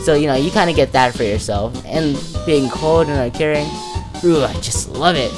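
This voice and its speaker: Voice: medium pitch, moderate pace. Casual, rambling commentator over guitar music. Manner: hesitant, thinking aloud, informal slang. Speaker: video creator presenting a character ranking. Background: music, plucked guitar.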